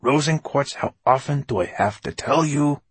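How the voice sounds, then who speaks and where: A man's voice: low voice, a little slow, husky, no echo. A man voicing a vain, irritable old male poet in an audiobook story, lofty and theatrical. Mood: exasperated. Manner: pompous, theatrical, easily exasperated.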